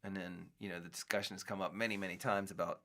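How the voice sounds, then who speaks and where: A middle-aged man with a low, gravelly voice talks loosely on his own show. He drifts from thought to thought, restarting sentences and filling gaps with fillers as he muses. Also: fairly even intonation, fast, pushed and bright. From United States. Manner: rambling, musing, many restarts and fillers. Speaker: podcast host. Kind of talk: casual solo talk.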